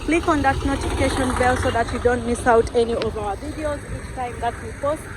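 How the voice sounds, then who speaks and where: A young woman talks to her vlog viewers in a high, bright-sounding voice. She is chatty and casual, keeps calling them guys, and laughs easily. Faint street noise sits behind her outdoors. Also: fairly quick. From Uganda. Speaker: travel vlogger. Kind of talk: casual vlog chat to camera.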